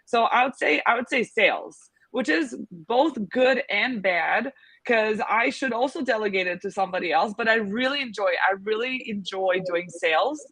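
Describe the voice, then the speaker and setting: Interviewgast: Frau mit serbischem Akzent, heller Klang, viele Füllwörter, trockene Aufnahme. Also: female voice, a little high, animated, moderate pace, fairly bright tone, husky, no room sound, low-quality recording. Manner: many fillers, thinks aloud. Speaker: agency founder, guest. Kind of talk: casual interview talk.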